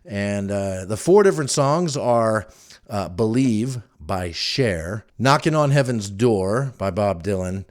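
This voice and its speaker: A middle-aged man speaks in a somewhat low voice. He hosts a podcast on his own and talks in a casual, chatty way, telling stories conversationally as they come to him. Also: lively intonation, slow pace. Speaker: podcast host. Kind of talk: casual solo podcast monologue.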